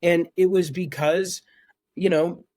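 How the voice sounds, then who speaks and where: A young man in his twenties with a high voice chats as a podcast guest. He often stumbles and repeats words before getting a thought out. His voice is recorded dry and close. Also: fairly even intonation, slow pace, darkish tone, no echo. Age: 20s. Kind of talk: casual podcast chat.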